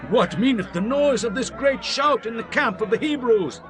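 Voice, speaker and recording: A man's voice: very high voice, animated, normal speed, crowd noise. A man playing an agitated, urgent ancient soldier in a declamatory dramatized scripture style. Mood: alarmed.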